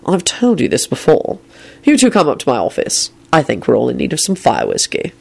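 A woman voicing a cool, dry-humoured male minister who speaks flatly and firmly, in a storybook reading. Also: rumbling low, a little fast, gravelly, husky, full character voice.

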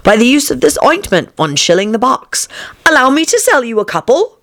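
A woman's voice: fairly high pitch, very animated, brisk, a bit bright, no echo, clean studio sound, pitched above the performer's own voice. A woman playing a spry, testy old father, declaiming self-satisfied comic verse from a storybook. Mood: boastful.

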